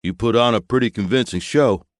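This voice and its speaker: A man voicing a big, gruff older male space biker with street slang in an audiobook. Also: mid-range voice, fairly quick, dry recording, clean studio sound, pitched above the performer's own voice, close to an everyday voice. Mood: grudging.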